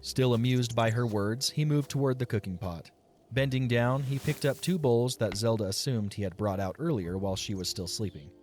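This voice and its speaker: A man's voice: mid-range voice, normal speed, a little mellow, soft background music. A man reading scripted story narration as the narrator of an audio drama.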